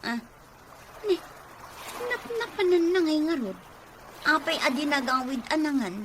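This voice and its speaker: A woman's voice: high-pitched, big pitch swings, unhurried, a bit bright, full character voice. An actress with a Filipino Ilocano accent playing a fed-up, nagging wife and mother in a radio drama. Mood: concerned. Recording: noisy radio drama recording.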